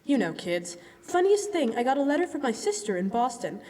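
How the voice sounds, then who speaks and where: Friend role for an actress: gossipy, breezy housewife, high singsong swings, old-style radio drama in a reverberant hall. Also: female voice, big pitch swings, fairly quick, a little mellow. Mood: gossipy, amused. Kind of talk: live stage radio drama in classic style. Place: on stage in a school hall. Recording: echoey hall, live stage performance.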